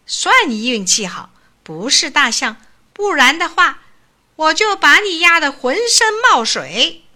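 A woman voicing an arrogant, hot-tempered little male mouse who squeaks and shouts in a storybook. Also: sing-song, a little slow, exaggerated voice. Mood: threatening.

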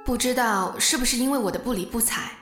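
A woman's voice: on the high side, fast, a bit bright, reverberant, long reverb tail, faint music behind, close to an everyday voice. A woman playing a wary young office worker who narrates her ordeal uneasily and earnestly in a suspense audio drama. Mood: uneasy.

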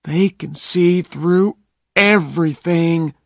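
Huck, a reader doing a frightened high-pitched boy. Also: very animated, extremely slow, slightly rough, no echo, studio quality, exaggerated voice. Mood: scared whisper. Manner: hushed, anxious whispering.

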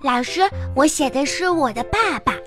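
A woman's voice: lively intonation, moderate pace. A woman playing a cheeky, whiny little schoolgirl with innocent earnestness in a radio comedy sketch. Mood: innocent, earnest. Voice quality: high childish voice. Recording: music behind the voice.

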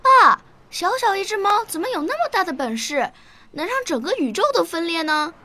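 Young bookish girl for radio drama: very high, bright, curious. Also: animated, fairly quick, a little hiss or hum, exaggerated voice. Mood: curious, earnest. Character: young schoolgirl Lingling, curious, bookish, later grieving. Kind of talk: Chinese radio drama dialogue and narration.

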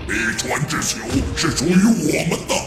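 A man playing an arrogant, threatening minotaur boss in a fantasy audio drama, booming with contempt. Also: expressive, brisk, natural voice. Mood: menacing. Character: menacing, arrogant male minotaur boss monster. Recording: echoing voice under loud music.

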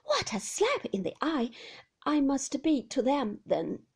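A woman's voice: high-pitched, huge pitch swings, pitched above the performer's own voice, heavily acted. A woman reading an audiobook voices a shy, anxious young wife, pleading and emotional. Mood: aggrieved. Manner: pleading, emotional, quick to protest.

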